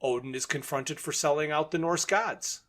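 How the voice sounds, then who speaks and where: Higher-pitched man recapping a story. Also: normal speed, fairly bright tone. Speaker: podcast co-host. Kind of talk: plot recap in casual podcast talk.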